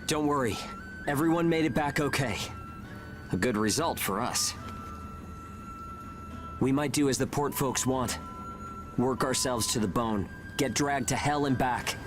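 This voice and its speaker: A man playing a quietly defiant, weary young soldier in a video game, speaking in an earnest, measured way. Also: medium pitch, lightly acted. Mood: resolute. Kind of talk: video game cutscene voice acting. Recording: music behind the voice.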